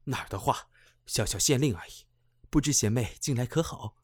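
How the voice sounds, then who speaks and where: A man's voice: mid-range voice, animated, moderate pace, close to an everyday voice. An actor playing a courteous young male magistrate in a Chinese costume audio drama, formal and deferential. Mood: courteous, apologetic.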